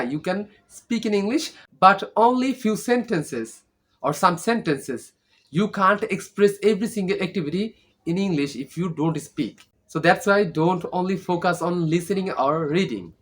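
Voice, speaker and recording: A man's voice: on the high side, fairly bright tone. Earnest Bangladeshi man teaching English. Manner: earnest, repeats tag questions like "okay?". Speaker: English learning vlogger. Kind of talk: informal teaching monologue.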